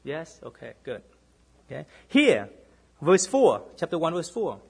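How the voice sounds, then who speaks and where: A man with a Boston accent, preaching to a congregation as minister, often checking in with his listeners and dropping into hushed repeats for emphasis. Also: a little high, wildly swooping pitch, a little slow, vocal fry, husky. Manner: keeps checking in with listeners, asking "right?". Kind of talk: sermon to a congregation.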